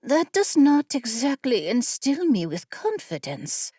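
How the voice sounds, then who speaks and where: Woman voicing a higher-pitched bookish, clever woman. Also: sing-song, mellow, husky, dry recording, clean studio sound, full character voice. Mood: doubtful, dry. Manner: earnest, haltingly questioning, precise wording. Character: short, clever, bookish woman, cheerful but doubtful. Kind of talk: audiobook character voice.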